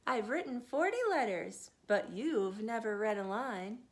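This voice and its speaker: A woman in her thirties reading picture books aloud to children, expressive and sing-song, and a little tired. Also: a little high, unhurried. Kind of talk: picture-book read-aloud.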